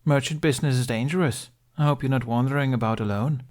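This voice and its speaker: A man plays a storybook village seamstress in a raised pitch. She is plain-spoken and skeptical, blunt but motherly in her cautions. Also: fast talker, a little mellow, lightly acted. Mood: cautioning.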